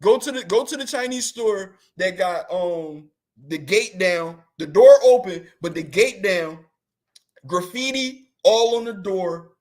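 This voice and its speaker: A man's voice: sits high, expressive, a little slow, darkish tone. A man hosting a show, chatting casually and comically, slangy and rambling, often laughing. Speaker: host. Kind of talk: comedic casual chat.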